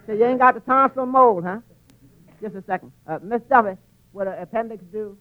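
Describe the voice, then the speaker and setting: High-voiced wisecracking waiter played by a man, old radio. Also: brisk, natural voice. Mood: dry, sardonic. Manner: dry quips, stammered repeated words. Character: wisecracking, long-suffering tavern waiter. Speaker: radio comedy actor. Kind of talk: 1940s radio comedy acting. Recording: muffled 1940s radio broadcast recording.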